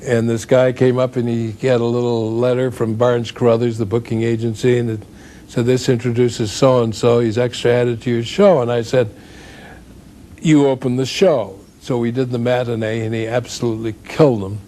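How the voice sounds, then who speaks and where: A man's voice: medium pitch, dark tone. An older man reminiscing in an interview, telling show-business anecdotes conversationally with quoted exchanges. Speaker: interviewee, former radio host.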